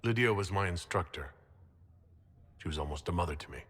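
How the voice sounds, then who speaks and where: Deep, husky young noble mage. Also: male voice, fairly quick, a bit bright. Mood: measured, firm. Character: earnest, polite young nobleman mage, leader. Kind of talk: video game voice acting. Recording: long reverb of a hall.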